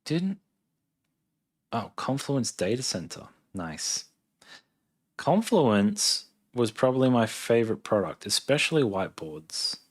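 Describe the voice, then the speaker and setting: A man's voice: medium pitch, big pitch swings, slow pace. A man in his thirties with an Australian accent, chatting casually on a livestream, thinking aloud as he reads and answers questions. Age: 30s. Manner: laid-back, thinking aloud. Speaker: live streamer, software engineer.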